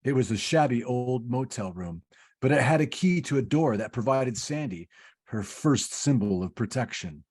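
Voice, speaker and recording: A calm senior angel in a radio play , acted by a man, with a husky, medium-pitched voice. He explains patiently and instructively, like an overseer briefing his junior. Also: normal speed. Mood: patient, explaining. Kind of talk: old-time radio play parody, read from script.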